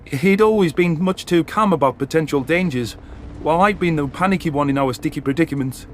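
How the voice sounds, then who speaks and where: High-voiced man narrating dramatic science fiction. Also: fairly quick, slight background noise. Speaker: audiobook narrator. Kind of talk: dramatic first-person sci-fi story narration. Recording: narration over background music.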